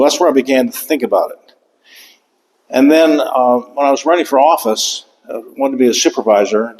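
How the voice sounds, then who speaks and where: A man's voice: mid-range voice, darkish tone. Older guy giving a book talk, lots of uhs and restarts, echoey hall. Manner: halting, with many fillers and restarts. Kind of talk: museum author talk. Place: lecture room. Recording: long hall reverb.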